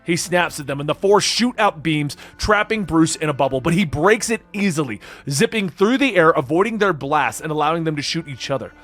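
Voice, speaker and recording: A man's voice: fairly high pitch, animated, brisk, hardly any pauses, a bit bright, soft background music. A man narrating a comic-book story as a dramatic scripted read.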